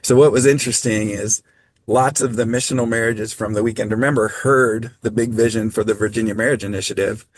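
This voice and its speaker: A man presenting on a video call training, speaking in a measured, practical way with frequent ums. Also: lowish voice, fairly even intonation, dry recording, rough recording. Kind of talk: online training talk.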